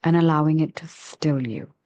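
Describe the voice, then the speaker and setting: A woman leading a guided meditation in a soft, soothing, measured way with long pauses between phrases. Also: sits low, no echo.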